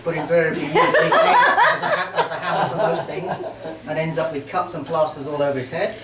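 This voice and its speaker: A middle-aged man from Merseyside giving a halting, joking best man's speech at a wedding reception. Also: fairly high pitch, sing-song, crowd noise. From Merseyside, England. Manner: warm, joking, halting with many ums. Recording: roomy hall, mic far off, faint noise.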